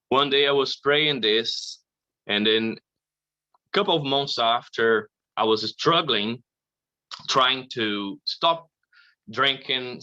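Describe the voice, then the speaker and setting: A man from Brazil, giving a halting, earnest personal faith testimony at a conference. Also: mid-range voice, sharp tone, no room sound, dull audio. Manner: slow, halting, earnest, with frequent "you know".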